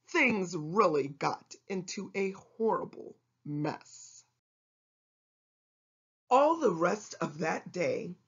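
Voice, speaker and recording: A woman reading a rhyming children's storybook aloud in an expressive narrating style. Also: mid-range voice, slightly rough, lo-fi sound. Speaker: storybook reader.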